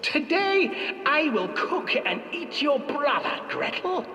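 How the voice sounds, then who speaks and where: Wicked old storybook witch, played by a man, high and theatrical, menacing, ringing in an echoey hall. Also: big pitch swings, slow pace, sharp tone, exaggerated voice. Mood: impatient. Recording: echoey hall, distant, music behind.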